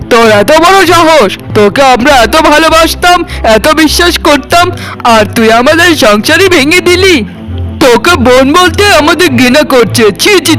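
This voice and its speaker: A man's voice: very high voice, fairly bright tone, rough recording. A man voicing a reproachful elder brother from a village household in a melodramatic cartoon. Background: faint music.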